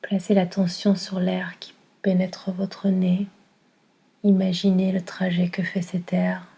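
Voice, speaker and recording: A woman leads a guided relaxation very slowly and calmly. Her voice is soft and mellow, with an even, barely moving melody. She soothes as a yoga nidra guide, giving gentle instructions. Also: fairly low pitch. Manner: calm, soothing, with soft breathy delivery. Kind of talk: guided meditation.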